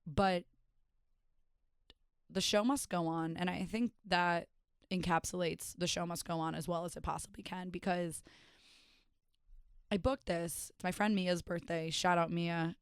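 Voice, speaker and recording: Rich: A young woman with a lowish, hoarse, raspy voice rambles through a casual solo podcast, self-mocking and full of filler words. The sound is close and dry, with no room around it. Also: steady tone, measured pace, pauses often, no echo. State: hoarse, raspy voice after days of partying. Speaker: podcast host and stand-up comedian.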